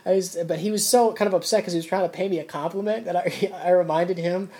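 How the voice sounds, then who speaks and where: A man's voice: sits high, fairly even intonation, fast, warm tone. A man, a comedian co-hosting a podcast, rambling and ranting in frustration in casual chat.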